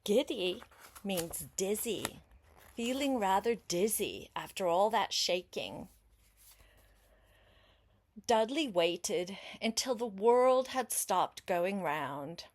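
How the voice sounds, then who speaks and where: Slow, expressive older woman reading aloud. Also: medium pitch. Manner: unhurried, expressive, explains words and asks questions. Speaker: grandmother reading a picture book aloud to a child. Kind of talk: storybook read-aloud with chatty asides to a young listener.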